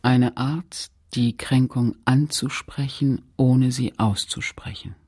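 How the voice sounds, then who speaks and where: A woman narrator remembering a painful, shamed childhood. The voice is husky, with sober, deliberate phrasing. It is scripted literary radio storytelling. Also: extremely low pitch, warm tone, exaggerated voice.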